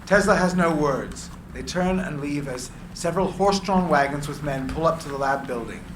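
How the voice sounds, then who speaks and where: A man's voice: on the high side, moderate pace. A man reading screenplay stage directions aloud as dramatic narration at a live reading. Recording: echoey room, clear background noise.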